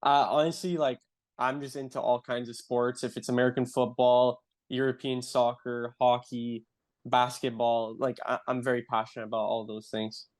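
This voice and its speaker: A young adult man from Canada with a Canadian accent, a guest in a casual interview, talking in a relaxed way and saying "like" often. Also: medium pitch, normal speed. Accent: Canadian English. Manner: relaxed, filler-heavy, says "like" often.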